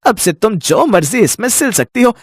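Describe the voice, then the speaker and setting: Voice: mid-range voice, big pitch swings, normal speed, slightly rough, no echo, studio quality. A performer voicing a kindly magical talking parrot in a cartoon story, earnest and encouraging.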